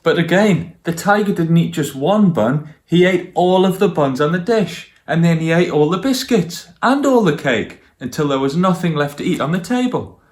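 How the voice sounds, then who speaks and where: A man's voice: a little high, animated, moderate pace, hardly any pauses. A man reading stories for young children and speaking to them directly as a guest storyteller.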